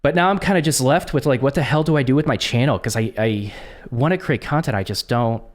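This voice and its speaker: A man who is coming down with a cold, talking casually and haltingly to camera, with frequent restarts and ums. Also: mid-range voice. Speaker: content creator.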